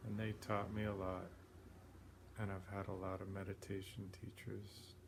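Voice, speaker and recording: A man leading a guided meditation in a plain-spoken, unhurried way, leaving long pauses between phrases. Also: sits low, monotone. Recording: clearly noisy background ambience.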